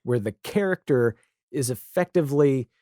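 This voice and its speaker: A man's voice: mid-range voice, expressive, moderate pace, warm tone, no room sound, studio quality. A man hosting a podcast, chatting casually about television in a candid, wry and emphatic way. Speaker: podcast host.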